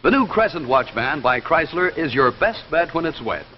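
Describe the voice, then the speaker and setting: Lively young 1950s TV pitchman, slightly high. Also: normal speed, in a large hall, a little hiss or hum. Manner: polished, salesmanlike delivery. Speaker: announcer and commercial pitchman. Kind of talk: scripted 1950s TV announcing and advertising pitch. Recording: dull 1950s television soundtrack with music.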